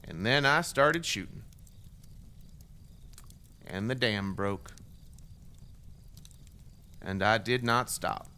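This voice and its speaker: Blunt, bitter ex-extremist man with a forceful edge. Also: fairly low pitch, expressive, very slow, long pauses, clear, resonant tone, heavily acted. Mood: grim, sardonic. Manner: blunt, profane, conversational, wry. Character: remorseful, bitter former extremist gunman confessing his past. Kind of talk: dramatic monologue in audio drama, told as interview testimony.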